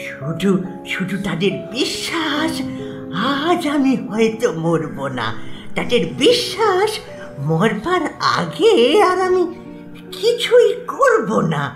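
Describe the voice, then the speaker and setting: A woman playing a frail, dying old patient in an animated horror story, pleading and secretive, halting and repeating words. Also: high voice, full character voice. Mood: bitter, plaintive. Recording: echoey, with music behind.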